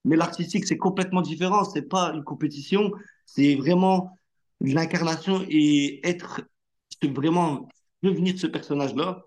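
A man's voice: fairly high pitch, moderate pace. A male actor whose first language is Arabic, telling his story in a casual, slangy way and acting out the lines of the people in it. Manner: animated, slangy, acts out quoted dialogue. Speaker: actor, interview guest.

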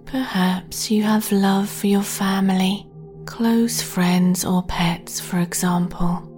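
Soft whispery woman, very slow. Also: mid-range voice, steady tone, soft background music. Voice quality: soft, breathy half-whisper. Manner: slow, soothing, gentle delivery. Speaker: sleep meditation host. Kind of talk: whispered guided sleep meditation.

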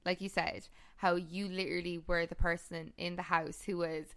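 A woman hosting a podcast, chatting casually and backing her guest with a steady stream of agreement. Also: fairly low pitch, moderate pace, clear, resonant tone. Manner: chatty, supportive, lots of agreeing fillers. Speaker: podcast host. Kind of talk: casual chat.

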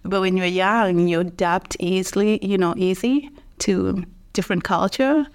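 A woman's voice: mid-range voice, moderate pace, clear, resonant tone. A woman from Tanzania, Swahili her first language, chatting as an interview guest and often adding "you know" and "yeah". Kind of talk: casual interview chat.

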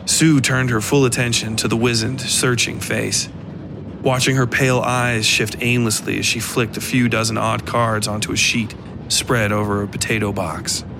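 A man narrating a scripted dark fiction story for a podcast. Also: mid-range voice, flat delivery, moderate pace, noisy background. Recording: clear music bed behind voice. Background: music.

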